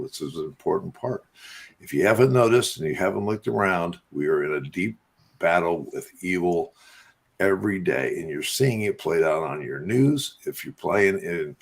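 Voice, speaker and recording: Deep, husky male radio host. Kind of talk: casual religious talk.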